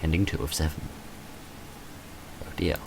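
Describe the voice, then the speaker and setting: A man's voice: a bass voice, steady tone, a little slow, vocal fry. A man reading visual novel text aloud in a dramatic storytelling way, with dry, casual asides as he plays. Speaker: gamer narrating a playthrough. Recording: noticeable background noise.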